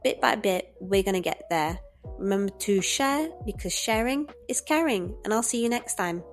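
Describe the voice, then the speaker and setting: A woman's voice: mid-range voice, expressive, normal speed, over music. A woman in her thirties, a native French speaker with a British English accent, giving an encouraging English lesson to camera and repeating key points for emphasis. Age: 30s. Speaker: English teacher.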